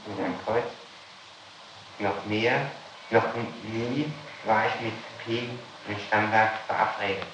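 Low-voiced man on echoey, noisy old tape. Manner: halting, stumbling when reading aloud. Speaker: police or judicial interrogator. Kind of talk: formal interrogation, reading documents aloud.

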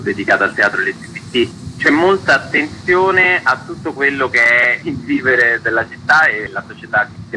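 A man's voice: lowish voice, bright, forceful tone, slight background noise, rough recording. A man talking enthusiastically and conversationally in a radio interview as an event organiser. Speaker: festival organiser being interviewed.

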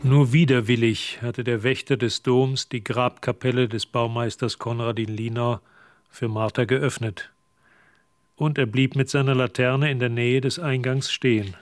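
An actor playing the composed narrator of a radio drama, giving measured literary narration of a dark mystery. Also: male voice, mid-range voice, steady tone, no room sound, clean studio sound, soft background music, close to an everyday voice. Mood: composed, suspenseful.

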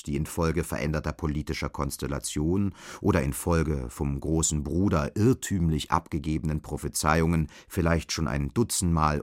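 A man narrating a literary radio drama, telling a dystopian story in a sober, scripted manner. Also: a bass voice.

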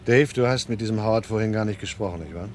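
An actor playing a weary, resigned art forger in a German crime radio play, terse and matter-of-fact. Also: male voice, lowish voice, fast, soft background music, natural voice. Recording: vintage radio play, faint engine-like noise.

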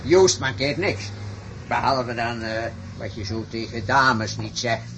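A man's voice: mid-range voice, takes it slowly, fairly bright tone, slight background noise, rough recording. An actor in a radio play playing an earnest, gullible farmer, blunt and hesitant in his folksy talk. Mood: earnest, awkward. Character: plain-spoken, earnest, gullible rich farmer.

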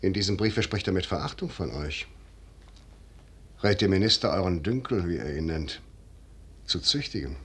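An actor playing a shrewd, commanding, noble general in a 1950s German radio drama, speaking in measured, deliberate declamation. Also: male voice, low-pitched, lively intonation, slightly rough, heavily acted. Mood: grave, revealing.

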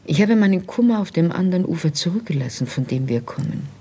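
An actress playing a tender, resigned wife facing arrest in a radio drama, hushed and breathy, speaking solemnly and intimately. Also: female voice, on the low side, animated, fast talker. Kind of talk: literary radio drama acting.

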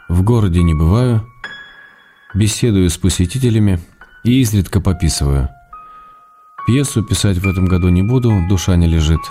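An actor playing an ailing writer and tender, gently reproachful husband, reading his letters in a measured, intimate way for radio drama. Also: low-pitched, natural voice. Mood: tender, calm. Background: music.

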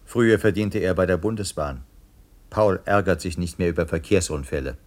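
An actor playing a dry, matter-of-fact announcer in an experimental radio play. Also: male voice, low-pitched, moderate pace, a little mellow, natural voice. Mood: deadpan.

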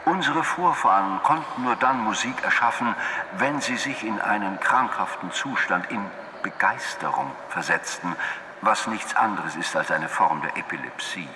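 An actor playing the didactic, self-assured loudspeaker lecturer of a totalitarian state, declaiming like a public announcement in a radio drama. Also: male voice, on the low side, moderate pace. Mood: didactic, pompous. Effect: public-address loudspeaker in a large hall. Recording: echoey hall, long reverb, loud noise.

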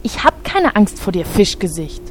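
A woman's voice: mid-range voice, very animated, normal speed, in a whisper, natural voice. Snippy, defiant human leader, played by a woman. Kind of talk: parody fantasy audio drama.

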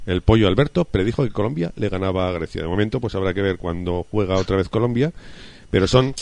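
A man's voice: sits low, lively intonation, quick pace, creaky, darkish tone, no room sound. A man with an accent from Spain, hosting a casual radio football discussion in an effusive, colloquial way.

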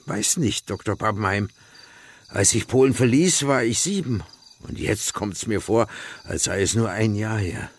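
A man's voice: on the low side, a little slow. A man playing a wistful, homesick musician past middle age in a German radio play. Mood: wistful, nostalgic. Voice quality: husky, creaky.

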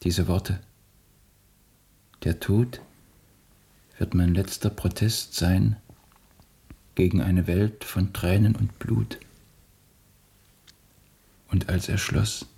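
Guilt-ridden, earnest rebel in radio drama; extremely husky low voice, slow solemn delivery. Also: male voice, steady tone, warm tone, heavily acted. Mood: solemn pride. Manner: solemn, deliberate. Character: earnest, guilt-ridden male revolutionary set on sacrifice. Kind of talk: radio play acting.

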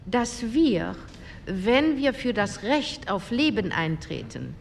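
A slow, solemn delegate. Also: female voice, sing-song, vocal fry, exaggerated voice. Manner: slow, measured formal statement. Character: female postwar CDU delegate, solemn and principled. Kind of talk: radio drama speech reenactment. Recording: reverberant hall with faint rumble.

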